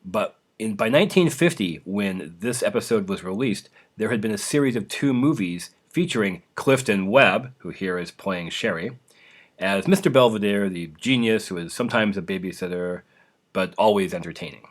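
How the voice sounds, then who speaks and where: A man with a fairly low voice hosts a podcast. He delivers a scripted introduction, explaining references point by point for listeners. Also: animated, normal speed. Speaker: podcast host.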